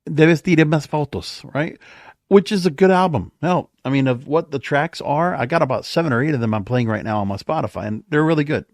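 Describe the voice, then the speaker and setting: A man's voice: fairly high pitch, brisk, no room sound, studio quality. A man hosting a podcast alone in a casual, conversational, rambling way, as if thinking aloud. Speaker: podcast host. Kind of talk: casual solo monologue. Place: home or small studio.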